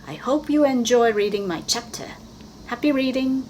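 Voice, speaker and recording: A woman's voice: fairly high pitch, moderate pace, a little mellow, rough recording. Woman academic, faint background noise. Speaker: academic author. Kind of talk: scripted chapter presentation.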